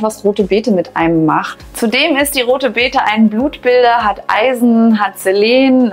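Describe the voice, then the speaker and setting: A woman in her thirties talking to camera in a bright home kitchen, chatty and upbeat, on a lapel mic. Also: mid-range voice. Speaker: raw food vlogger. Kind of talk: casual to-camera explanation.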